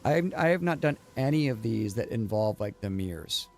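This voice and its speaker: Sweary man streaming, animated delivery. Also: on the low side, normal speed, soft background music. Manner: sweary, slangy, blunt. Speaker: livestreamer. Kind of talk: casual game stream commentary.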